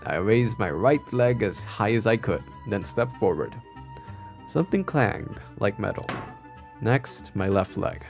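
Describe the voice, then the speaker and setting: Low-voiced man reading a visual novel aloud. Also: expressive, unhurried, gravelly, soft background music. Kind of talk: expressive read-aloud narration with live reactions.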